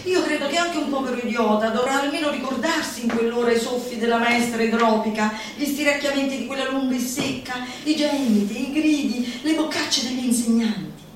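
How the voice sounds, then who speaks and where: A woman's voice: high-pitched, a little fast, hardly any pauses, lightly acted. A woman playing a zealous lecturer, declaiming a comic manifesto in an emphatic, mock-solemn theatrical manner. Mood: gleeful relish. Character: zealous female lecturer preaching gleeful laughter at misfortune. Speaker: stage performer. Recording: roomy echoing live venue, distant mic, faint noise.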